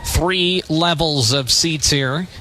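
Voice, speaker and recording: A man's voice: fairly high pitch, takes it slowly, bright, forceful tone, a little hiss or hum. A man doing live radio play-by-play of a baseball game in short, punchy, descriptive phrases. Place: open-air stadium press perch.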